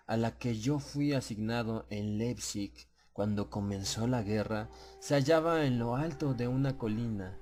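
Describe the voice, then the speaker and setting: A man narrating a scripted horror audiobook in a grave, measured, suspenseful way. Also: mid-range voice, darkish tone.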